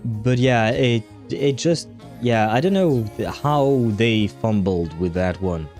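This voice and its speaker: A man hosting a casual livestream, talking in a rambling, halting way as he thinks aloud. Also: medium pitch, expressive, darkish tone. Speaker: livestream host. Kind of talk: casual livestream chat over a game. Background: faint music.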